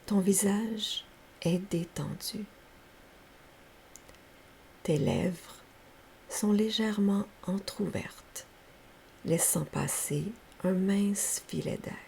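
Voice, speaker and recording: A woman leading a guided yoga nidra relaxation as a teacher, speaking softly and breathily in gentle, unhurried, soothing instructions. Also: on the low side, creaky. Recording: faint steady background hiss.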